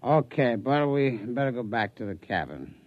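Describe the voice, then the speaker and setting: A man acting a pompous, skeptical hunter on vacation in a vintage radio comedy. His grumbling, comic delivery swings widely in pitch, on a dull, dry old recording. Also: very animated, normal speed, no echo, natural voice.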